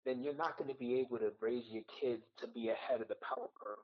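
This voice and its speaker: A man's voice: mid-range voice, steady tone, fast, lo-fi sound. A man who grew up in the United States, a podcast guest serving in the Marines, talking through his thoughts in an earnest, reflective way and often checking in with "right?" and "you know.". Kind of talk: casual podcast conversation.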